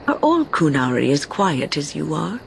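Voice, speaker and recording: Low-voiced, prim woman mage. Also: very animated, normal speed, creaky, a little hiss or hum, full character voice. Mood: wry. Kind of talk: fantasy video game voice acting.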